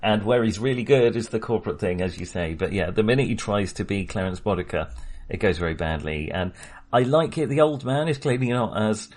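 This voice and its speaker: Chatty man with a low voice. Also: fairly quick. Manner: chatty, wry, animated when making a point. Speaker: podcast co-host. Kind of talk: casual film discussion.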